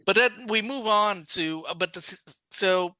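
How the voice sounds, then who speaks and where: A man's voice: high-pitched, a little slow, pushed and bright, no room sound. A man co-hosting a podcast, chatting casually and rambling through thoughts with many restarts and asides. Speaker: podcast co-host. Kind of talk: casual discussion.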